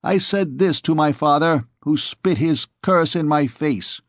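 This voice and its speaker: Loud, cocky young male troublemaker rousing a mob, voice pushed up high, a man acting him out. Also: measured pace, no echo, clean studio sound, close to an everyday voice. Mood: boastful. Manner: shouting, swaggering. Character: brash young male scamp in armor, boastful rabble-rouser.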